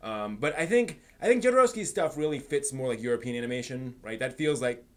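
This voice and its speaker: A man hosting a casual live stream, thinking aloud in a halting, rephrasing way while giving emphatic opinions. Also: medium pitch, expressive. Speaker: livestream host. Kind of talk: casual live-stream chat, answering viewers' comments.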